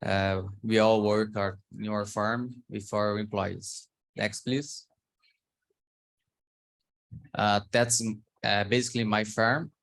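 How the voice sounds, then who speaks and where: A man from Brazil speaking English with a Brazilian Portuguese accent, presenting his farm in a halting manner. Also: lowish voice, steady tone, a bit bright. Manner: halting, searching for words in a learned language. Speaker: farmer presenting. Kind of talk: slide presentation on a call.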